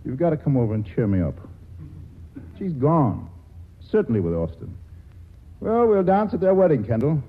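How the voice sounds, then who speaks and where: A man's voice: a little high, sing-song, normal speed, pauses often. An actor playing a cynical, witty male writer in a 1940s radio drama, talking with wry, sardonic banter. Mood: rueful. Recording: tinny old radio broadcast.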